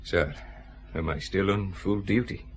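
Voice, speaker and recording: A sheepish, low-pitched police sergeant. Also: male voice, unhurried. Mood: sheepish, uneasy. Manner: hesitant, apologetic. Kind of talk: radio drama acting. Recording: faint background noise, ambience.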